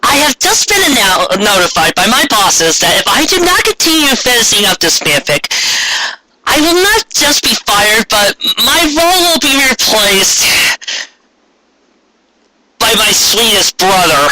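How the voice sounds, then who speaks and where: A man's voice: sits high, big pitch swings, unhurried, sharp tone, a little hiss or hum, poor audio. A man reading fan fiction aloud in a theatrical, comedic style with an exasperated, hammy manner.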